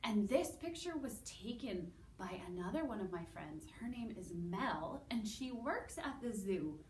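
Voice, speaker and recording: Woman, Canadian accent, unhurried children's educator, animated simple phrasing, echoey room, faint background noise. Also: medium pitch. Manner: animated, simple drawn-out wording with guessing questions. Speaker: children's library story time host. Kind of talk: educational presentation for young children. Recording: roomy, distant mic with background noise.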